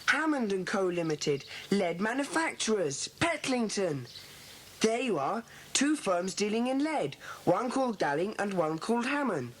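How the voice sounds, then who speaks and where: A woman playing a bossy, earnest schoolboy who leads a children's club, brisk and commanding in an audiobook dramatisation. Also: medium pitch, big pitch swings. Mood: earnest, decisive. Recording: hissy old cassette dramatisation.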